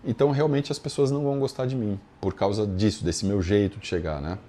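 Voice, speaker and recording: A man speaks Portuguese with a Brazilian accent in a somewhat low-pitched voice. He teaches in an informal, explanatory style, building his points through everyday examples and punctuating them with small tags that check the listener is following. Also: brisk, dark tone. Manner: conversational, didactic, frequent check-in tags. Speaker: yoga philosophy teacher.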